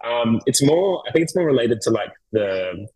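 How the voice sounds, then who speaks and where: A man's voice: mid-range voice, sing-song, normal speed, warm tone, husky, no echo, low-quality recording. A man in his thirties with an Australian accent, chatting easily as a guest and laughing often. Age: 30s. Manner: easygoing, laughs often, restarts his sentences. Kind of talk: casual chat.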